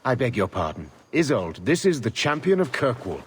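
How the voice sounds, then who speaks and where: A man's voice: mid-range voice, very animated, moderate pace, husky. A man voicing a courteous, genial nobleman in a video game cutscene. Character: courteous, genial nobleman, mildly flustered gentleman.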